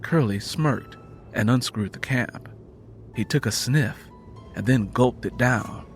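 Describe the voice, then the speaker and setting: A male narrator reads dramatic fiction at a medium pitch. Faint music plays behind his storytelling. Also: takes it slowly.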